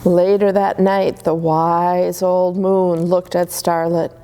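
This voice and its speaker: A woman reading Christmas stories aloud to children in a gentle, soothing way. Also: fairly low pitch, flat delivery, takes it slowly, a little hiss or hum. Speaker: storyteller.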